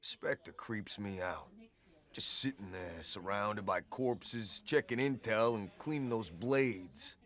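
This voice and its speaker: An actor playing a cocky soldier who tells war stories casually in clipped, wry military slang for a video game. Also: male voice, on the low side, slow, fairly bright tone. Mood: grim, wry. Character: cocky male soldier swapping war stories with his squadmate. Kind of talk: casual in-character storytelling.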